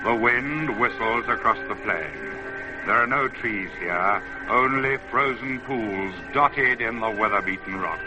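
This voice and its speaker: A man announcing and narrating a scripted vintage radio programme in a formal, measured, poetic way. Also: on the low side. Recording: dull vintage radio recording, music behind. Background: music.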